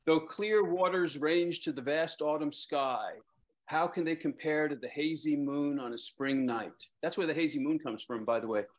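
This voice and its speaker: Higher-voiced middle-aged man reading poetry. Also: moderate pace. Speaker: poet reading own verse. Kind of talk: casual remarks and measured poem reading. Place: lamplit room at home, on a video call. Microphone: computer mic on a video call.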